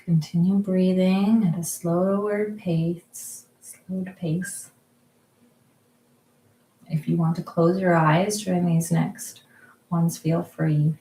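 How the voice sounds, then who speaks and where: Calm woman, low and mellow, leading slow guided mindfulness at home, echoey kitchen. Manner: slow, soft, calm guiding with frequent pauses. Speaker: mindfulness group leader. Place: kitchen or dining room at home.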